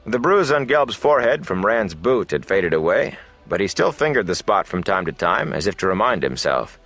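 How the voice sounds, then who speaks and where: A man narrating a fantasy novel as an audiobook, in a measured, dramatic storytelling manner. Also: lowish voice, very animated, fairly bright tone, faint music behind.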